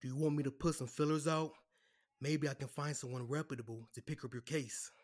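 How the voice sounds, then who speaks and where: A man's voice: medium pitch, fairly even intonation, brisk, natural voice. An actor playing a defensive, placating male lawyer who has betrayed his client, in an audio drama. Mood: sheepish, placating.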